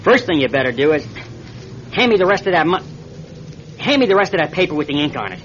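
A muffled, slightly noisy radio drama recording carries a steady, wry male pilot. His pitch swings widely as he coaxes and reasons in a folksy, natural way. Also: fast talker. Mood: wry, practical.

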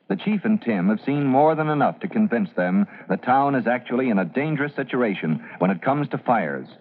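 A man's voice: fairly low pitch, breathy edge. An American man performing as the stirring, emphatic announcer of a firefighter adventure serial on old-time radio. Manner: theatrical, emphatic. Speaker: announcer and narrator. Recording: muffled vintage radio recording.

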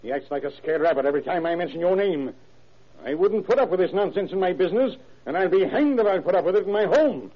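A man's voice: high voice, animated, fast talker, breathy edge. An actor playing an irascible, wealthy, middle-aged father who barks curt orders in an old-time radio drama. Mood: angry. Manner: curt, bullying, barking orders. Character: irascible wealthy older businessman, domineering father. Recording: dull, muffled vintage radio recording.

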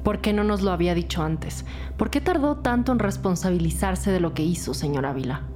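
A woman's voice: fairly low pitch, animated, fairly quick, background noise, lightly acted. A woman playing a formal, pressing police detective questioning people in an audio crime drama. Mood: firm questioning. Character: female police detective, formal, firm interrogator. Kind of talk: scripted interrogation dialogue.

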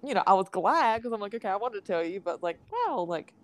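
A woman's voice: medium pitch, very animated, fast talker, no room sound. A woman with a Southern US accent in casual chat as a podcast co-host, telling stories in an emphatic, dramatic, gossipy way.

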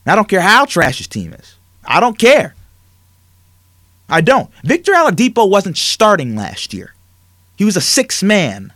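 A man's voice: sits high, wildly swooping pitch, a little slow, fairly bright tone, dry recording, clean studio sound. A man hosting a sports talk show, ranting emphatically with rhetorical questions, then chatting with a guest. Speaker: sports talk radio host.

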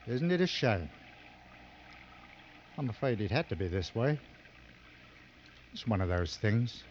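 An actor playing a seasoned, coolly sardonic hunting guide in a mid-century radio drama, giving clipped, authoritative instructions. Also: male voice, lowish voice, sing-song, unhurried. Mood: dry, sardonic. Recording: dull old radio recording, noisy.

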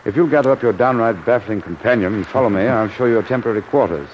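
A man plays a genial British submarine commander in a classic radio play. His voice sits fairly low, frank and jolly in its officer's slang. The recording is dull and clearly noisy. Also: quick pace, natural voice. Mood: frank, confiding. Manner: hearty, clipped officer's slang. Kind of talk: old-time radio drama acting.

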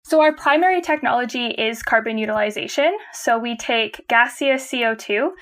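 A woman's voice: on the high side, normal speed, a bit bright. Canadian woman explaining her work. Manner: friendly and explanatory, laughs easily. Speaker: guest, company chief of staff. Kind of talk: conversational interview.

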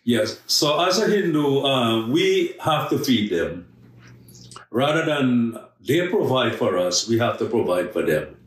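A man from Guyana, a missionary guest telling his life story in a podcast interview, slow and earnest with emphatic stresses. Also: mid-range voice, big pitch swings. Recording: echoey room, voice from a distance.

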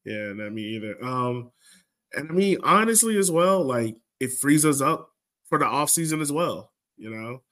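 A man's voice: mid-range voice, expressive, moderate pace, fairly bright tone. An American man hosting a casual sports podcast, speaking colloquially and repeating phrases for emphasis. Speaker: podcast host.

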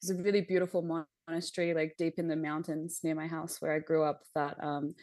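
A young adult woman chats as a podcast guest, thoughtful and unhurried in her reflections. Her voice sits a little low for a woman. The recording is dry, with no room sound. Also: little pitch movement, a little mellow, no room sound. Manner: reflective, laughs lightly, many "um" and "like" fillers. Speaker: podcast guest, visionary artist. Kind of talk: casual conversation.

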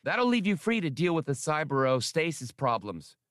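A tiny, eager computer droid, as a man puts it on, squeaking high and bright. The voice jumps well above his own and stays lively. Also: moderate pace, clear, resonant tone, no room sound, studio quality.

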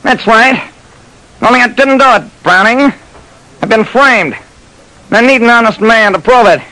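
An actor playing a big, urgent gambling boss in an old radio drama, forceful and commanding, on a noisy recording. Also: male voice, very high voice, moderate pace. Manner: forceful, commanding, pleading his innocence.

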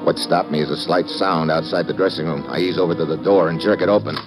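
A man's voice: low-pitched, fairly even intonation, fast, close to an everyday voice. An actor playing a tough, wisecracking government agent who tells his own case in a vintage radio drama, with clipped, world-weary delivery. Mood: tense narration. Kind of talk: 1940s-50s radio detective drama acting and hard-boiled narration. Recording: vintage radio recording with music.

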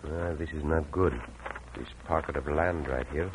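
An actor playing a bold, level-headed Mexican outlaw hero in an old radio western, commanding and formally phrased with some Spanish words. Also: male voice, extremely low pitch, fairly even intonation, normal speed. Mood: cautious. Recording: muffled, noisy old radio recording.

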